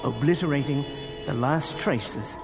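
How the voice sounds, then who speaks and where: An actor playing a pompous, coolly confident criminal professor in a radio drama, speaking with precise, pedantic formality. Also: male voice, medium pitch, measured pace, lightly acted. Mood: grandly assured. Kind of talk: old-time style radio drama acting. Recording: long hall reverb. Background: faint dramatic music.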